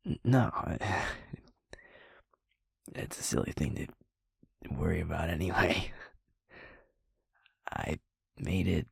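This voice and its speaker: A man playing a sweet, shaken young werewolf boyfriend in an intimate audio roleplay, halting and stammering, with a breathy, husky voice. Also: deep voice, no room sound, clean studio sound, heavily acted. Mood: sheepish, reassuring. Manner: halting, hushed, stammering, sighing.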